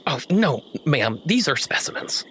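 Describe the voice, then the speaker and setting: A man's voice: on the high side, sing-song, a little slow, pushed and bright, a little hiss or hum, voice pushed up, exaggerated voice. A man voicing a scrawny, eccentric naturalist in a tabletop game, fussy, stammering and defensive. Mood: flustered, eager. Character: tall scrawny bald eccentric chicken-breeding naturalist, indignant.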